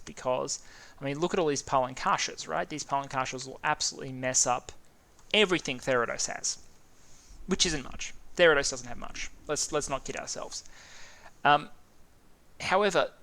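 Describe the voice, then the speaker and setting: Man, Australian accent, casual game commentary, thinking aloud, smooth clear voice, keyboard typing behind. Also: medium pitch, sharp tone. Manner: thinking aloud, stumbling over names. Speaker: gaming video host.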